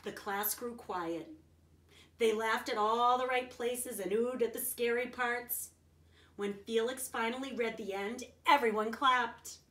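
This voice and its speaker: A woman's voice: fairly high pitch, fairly even intonation, a little slow, a bit bright. A woman reading a children's picture book aloud expressively, adding simple explanations and checking in with her young listeners. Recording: roomy echo, distant from the mic.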